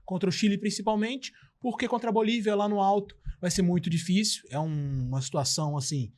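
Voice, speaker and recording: Brazilian man, slightly high voice, rambling sports co-host. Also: a little fast, darkish tone. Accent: Brazilian Portuguese. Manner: musing, many fillers and trailing "enfim". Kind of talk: casual sports talk.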